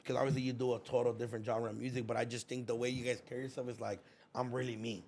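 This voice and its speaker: A man's voice: medium pitch, little pitch movement, racing, darkish tone, a little hiss or hum. An American man in his early twenties, hosting an interview in casual, slangy chat. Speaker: podcast host, interviewer.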